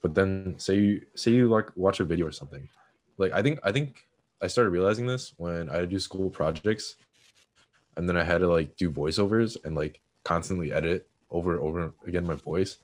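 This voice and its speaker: Husky, very deep-voiced young man. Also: brisk. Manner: hesitant, repeats words, says "like" often. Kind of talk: casual chat.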